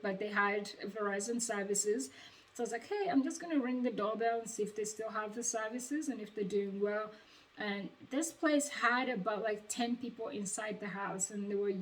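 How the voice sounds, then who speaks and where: A woman's voice: medium pitch, a bit bright. Ugandan-accented young woman, distant mic. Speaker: vlogger sharing sales advice. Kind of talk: casual personal advice talk. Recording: distant microphone.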